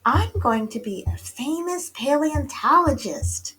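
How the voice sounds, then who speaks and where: A woman voicing an excitable, boastful little boy kitten in a storybook, full of exclamations and chanting. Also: high-pitched, slow, a little hiss or hum, voice pushed up, heavily acted. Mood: excited. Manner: exclaims, chants and sings rhymes.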